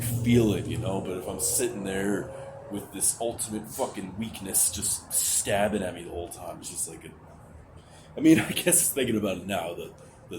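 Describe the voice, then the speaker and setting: A young man with a deep voice venting to his camera, hesitant and rambling, anxious, with casual swearing and sighs. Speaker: vlogger. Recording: noticeable background hiss.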